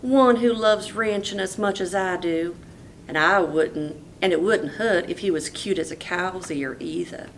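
A woman's voice: lowish voice, measured pace, slight background noise. A woman reading a storybook, voicing a confident, determined young ranch belle with a folksy manner.

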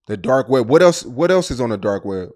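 Guy doing a casual interview, regular pitch, slangy questions. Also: animated, brisk, darkish tone.

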